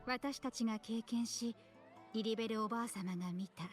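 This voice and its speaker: A woman voicing a solemn, composed priestess seer in a video game, speaking formally with breathy pauses. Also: on the high side, lightly acted. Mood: solemn, prophetic. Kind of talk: Japanese video game voice acting. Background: video game music.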